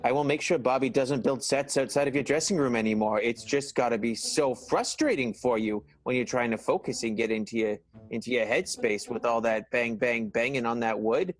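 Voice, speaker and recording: A man's voice: medium pitch, brisk, hardly any pauses, lightly acted. A man voicing an apologetic male theater staffer who stammers and fumbles for excuses. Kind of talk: improvised radio play.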